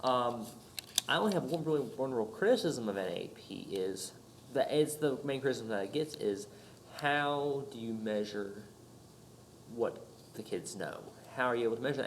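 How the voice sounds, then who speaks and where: A young man with a stuffed-up nose from a cold talks through a class presentation at a middle pitch. He rambles, full of ums and restarts, and a faint hiss sits behind him. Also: low-quality recording. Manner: rambling, with many fillers and restarts. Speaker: student presenter. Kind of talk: informal recorded class presentation.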